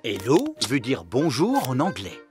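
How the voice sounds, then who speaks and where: A man's voice: medium pitch, huge pitch swings, unhurried, loud music behind the voice. A man narrating a dubbed children's cartoon in an amused storytelling manner.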